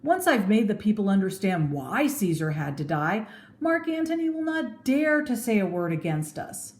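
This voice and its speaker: A woman's voice: mid-range voice, very animated, moderate pace, lightly acted. A woman voicing an earnest, honorable Roman statesman who speaks persuasively in a storybook reading. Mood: confident. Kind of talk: storybook read-aloud with theatrical oratory. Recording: echoey room.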